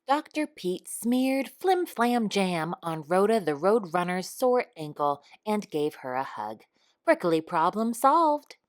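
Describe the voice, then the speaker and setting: A woman reading a children's picture book aloud in an expressive storytime style. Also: mid-range voice. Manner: expressive, unhurried. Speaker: storytime reader.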